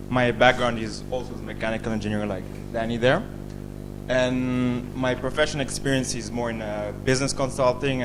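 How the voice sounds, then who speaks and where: A man from Belgium pitching an app at a bootcamp demo day, with pauses and restarts as he explains. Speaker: bootcamp student presenter. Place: event stage, live presentation. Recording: audible hum and noise.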